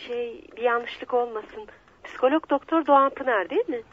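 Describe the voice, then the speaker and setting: An actress playing a coquettish, distraught young betrayed wife in a radio play, speaking in a formal, pleading, melodramatic way. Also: female voice, on the high side, normal speed, natural voice. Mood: hesitant, pleading. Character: distraught, coquettish 22-year-old betrayed wife seeking help. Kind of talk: radio theatre acting. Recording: dull lo-fi radio drama recording.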